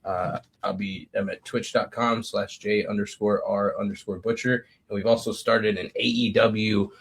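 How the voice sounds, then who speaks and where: A man's voice: lowish voice, level tone, normal speed, creaky, breathy edge. A man co-hosting a podcast, casually plugging his online streams. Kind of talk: casual chat, plugging channels. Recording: faint background noise.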